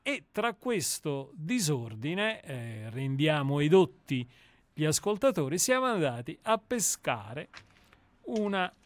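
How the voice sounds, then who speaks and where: A courteous man who is a guest on a studio radio show, speaking slowly with an animated rise and fall. His voice sits a little high, and the sound is close and dry. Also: dry recording. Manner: courteous, unhurried. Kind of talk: live radio chat.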